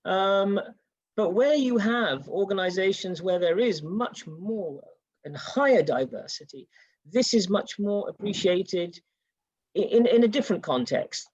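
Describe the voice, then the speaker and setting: A British-born panelist telling a personal story in a panel discussion and acting out the quoted exchanges. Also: male voice, sits high, animated, a little slow, darkish tone, slightly rough, dry recording. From United Kingdom. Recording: dull, dry sound.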